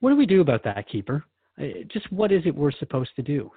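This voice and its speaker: A man's voice: on the high side, sing-song, fast, slightly rough, dry recording, narrow, tinny audio. A man hosting a conversational radio talk show, asking questions in an earnest, reflective manner. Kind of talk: conversational interview with a spirit guide.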